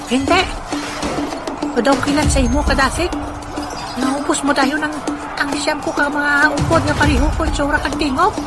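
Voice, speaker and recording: A woman's voice: sits high, flat delivery, normal speed, long pauses, close to an everyday voice. A woman playing a defiant warrior of a rival tribe in a comic audio drama. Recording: very loud music behind.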